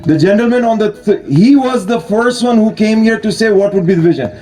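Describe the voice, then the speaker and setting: A man's voice: high voice, animated, fairly quick, dark tone, low-quality recording. A man from Pakistan giving an earnest speech at a hospital opening ceremony, thanking donors and calling for applause. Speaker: charity speaker at opening ceremony. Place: outdoor ceremony.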